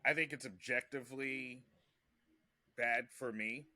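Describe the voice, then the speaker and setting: Male podcast host, mid-pitched, bright and punchy. Conversational, halting, restarts often. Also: steady tone. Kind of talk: casual conversational chat.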